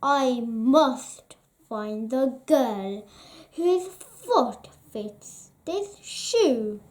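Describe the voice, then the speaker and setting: A woman's voice: fairly high pitch, animated, very slow, a little mellow. A woman reading aloud voices a young fairy-tale prince, earnest and eager, in a children's storybook.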